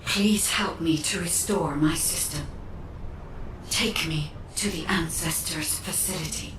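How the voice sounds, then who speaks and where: Slow, echoing solemn AI guide. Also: female voice. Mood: solemn appeal. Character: calm female guide, ancient AI system, earnestly pleading. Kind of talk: scripted video game acting. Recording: distant, echoing, noisy rumble.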